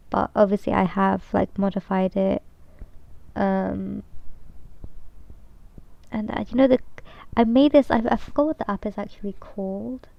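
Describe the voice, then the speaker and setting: A woman's voice: medium pitch, vocal fry, no echo, lo-fi sound. A young woman streaming as a VTuber, chatting casually and hesitantly with lots of fillers, her voice nasal from a cold. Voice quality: hesitant, many fillers and restarts. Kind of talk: rambling casual chat.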